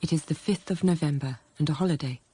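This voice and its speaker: An actress playing a frank, self-possessed young schoolteacher in a period radio drama, narrating quietly and thoughtfully. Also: female voice, low voice, fast talker, husky. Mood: reflective. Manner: quiet, composed, literate storytelling.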